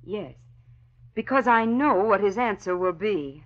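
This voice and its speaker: An actress playing a proud, defiant young society daughter with an indignant, emphatic manner, in a vintage radio drama. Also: female voice, medium pitch, animated, unhurried, lightly acted. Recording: muffled old radio recording.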